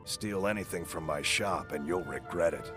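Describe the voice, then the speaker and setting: An actor playing a plain-spoken, gruff ex-soldier shopkeeper in a fantasy video game, with a folksy, blunt manner. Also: male voice, sits low, moderate pace, natural voice. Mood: warning. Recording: music behind the voice.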